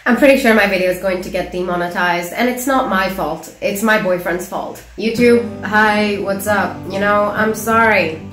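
A young woman in her early twenties talks back at a video on her screen, teasing and sarcastic, laughing between lines. Her voice sits at an ordinary middle pitch. The small home room around her adds a noticeable echo. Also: normal speed, few pauses, fairly bright tone, faint music behind. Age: young adult. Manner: teasing, giggly, sarcastic asides. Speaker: reaction vlogger. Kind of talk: casual reaction commentary.

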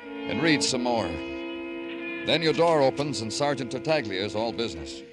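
An actor playing a terse, brooding American police lieutenant in an old-time radio crime drama. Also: male voice, mid-range voice, expressive, normal speed, music in the background, close to an everyday voice. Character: world-weary hard-boiled male police lieutenant, narrating his case.

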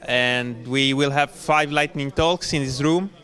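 A man chairs a conference session in a medium-pitched voice. He gives plain, practical announcements without a script, halting now and then as he goes. The setting is a conference room. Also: steady tone, a bit bright. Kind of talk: unscripted event announcement.

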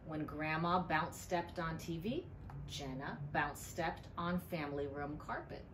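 A middle-aged woman, a librarian, reads a picture book aloud with deliberate storytelling emphasis. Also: low-pitched, fairly bright tone. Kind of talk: expressive storybook read-aloud. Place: indoor library room. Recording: clearly noisy room ambience.

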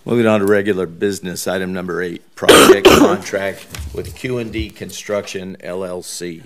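A man's voice: low voice, animated, moderate pace. A man chairing a formal council meeting from the dais, over a noisy chamber microphone.

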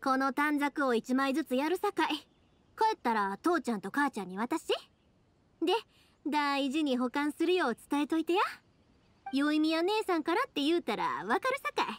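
A woman voicing a cheerful, big-sisterly young fireworks maker in an anime game, with a Kansai accent and a lively, reassuring, colloquial way of talking. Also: sits high, moderate pace. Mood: friendly. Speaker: video game character voice actor. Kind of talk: anime-style game dialogue.

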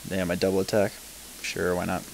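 A man's voice: sits low, monotone, brisk, vocal fry, a little hiss or hum, poor audio. A man casually commenting on a strategy game as he plays, talking to himself and swearing offhandedly. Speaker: let's play commentator.

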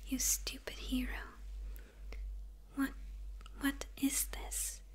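A young woman playing a smitten, menacing yet confused female supervillain in an ASMR roleplay, speaking in a breathy, hesitant whisper close to the microphone. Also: sits high, completely flat delivery. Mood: confused, conflicted. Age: young adult. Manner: slow, hesitant, halting murmur with pauses.

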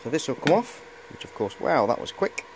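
A man's voice: medium pitch, sing-song, fairly quick, a little hiss or hum. A middle-aged man giving a casual, hesitant, rambling show-and-tell on a handheld camera in a cluttered workshop. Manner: rambling, hesitant, repeats "of course". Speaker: hobbyist presenter.

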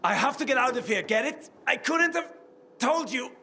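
High-voiced, desperate wounded survivor. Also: male voice, animated, moderate pace, bright, forceful tone, husky, exaggerated voice. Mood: pained, pleading. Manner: groaning, pleading, swearing. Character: wounded, desperate, cynical male survivor confessing betrayal.

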